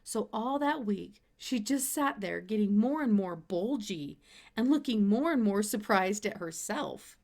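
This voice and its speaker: A woman reads a children's story aloud. Her voice sits at an ordinary middle pitch for a woman, and she narrates expressively as a storybook reader. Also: expressive, measured pace, darkish tone.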